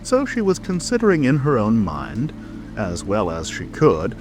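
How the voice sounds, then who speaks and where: A man narrating a children's storybook in an expressive, dramatic scripted reading. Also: mid-range voice, unhurried. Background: music.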